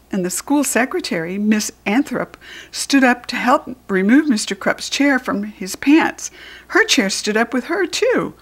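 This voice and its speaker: American woman reading a children's story. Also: mid-range voice. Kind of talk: animated children's audiobook narration.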